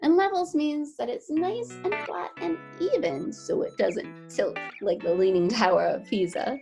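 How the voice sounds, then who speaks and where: Animated woman reading aloud to children. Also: high-pitched, a little slow, creaky, music in the background. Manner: animated, encouraging, explains things simply to children. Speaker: children's teacher. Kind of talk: picture book read-aloud for young children.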